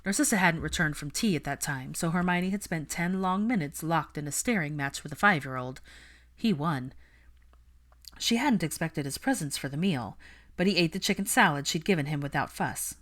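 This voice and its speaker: A female audiobook narrator with a low-pitched voice. She reads fiction prose in a scripted storytelling style. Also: moderate pace, a bit bright.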